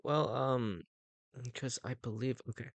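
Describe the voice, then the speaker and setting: A man's voice: medium pitch, unhurried, vocal fry, dry recording. A young man chatting casually on a livestream, rambling with lots of fillers and restarts and chuckling often. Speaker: streamer.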